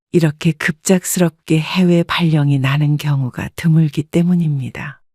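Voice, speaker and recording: A woman narrating a scripted first-person life story in a calm, even manner. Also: deep voice, no room sound, clean studio sound.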